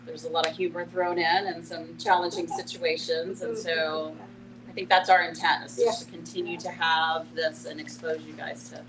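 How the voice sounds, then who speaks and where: A woman explaining things informally in a panel discussion, often pausing to add "you know". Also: sits low, little pitch movement. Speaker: panelist on end-of-life care. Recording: noisy live room with hum.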